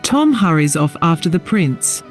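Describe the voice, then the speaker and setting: A woman narrates a scripted fantasy radio drama. Her pitch moves animatedly through the descriptions, with soft music underneath. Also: sing-song, measured pace.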